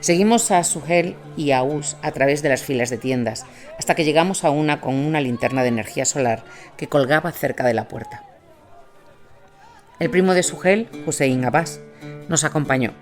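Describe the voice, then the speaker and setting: A man's voice: a little high, normal speed. A man reading scripted documentary narration as the narrator. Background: music under the voice.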